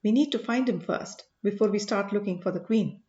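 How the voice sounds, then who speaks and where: A woman voicing an earnest, commanding male sage in an audiobook, speaking in a measured, emphatic way. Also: medium pitch, warm tone, reverberant, highs cut off, lightly acted. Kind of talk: audiobook character dialogue.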